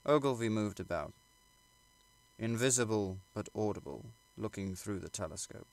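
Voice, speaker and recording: Creaky, low-voiced man reading a classic novel. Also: expressive, normal speed, darkish tone. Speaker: audiobook reader. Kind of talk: scripted literary narration.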